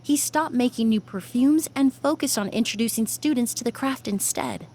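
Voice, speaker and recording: An actress playing a polite, measured young female perfumer in a video game. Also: fairly high pitch, warm tone, a little hiss or hum, natural voice. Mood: polite, serious.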